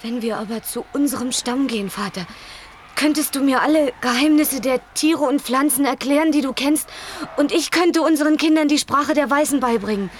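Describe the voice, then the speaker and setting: A woman's voice: high voice, moderate pace, close to an everyday voice. An actress in a German radio play, playing a determined young Native girl, an earnest, persuasive daughter pleading her case. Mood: earnest, coaxing. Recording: noisy old radio recording.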